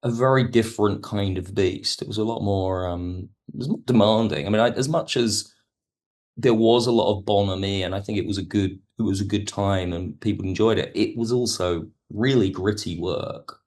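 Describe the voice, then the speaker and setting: Low-voiced man explaining his work. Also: moderate pace, a little mellow. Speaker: audio drama producer and writer, podcast guest. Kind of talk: reflective interview talk about production work.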